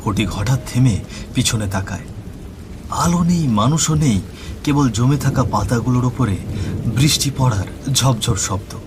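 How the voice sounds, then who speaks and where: Guy narrating a scary story, mid-pitched voice, music and rainy storm sounds behind. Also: expressive, measured pace. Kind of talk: scripted horror story narration. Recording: music bed with rain and thunder.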